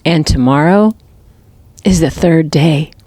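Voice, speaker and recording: Lady acting a kind older mom in a bible audio drama, soft mellow voice, gentle n reassuring. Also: low-pitched, slow pace, dry recording. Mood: earnest, consoling. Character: wise, tender older mother consoling grieving men.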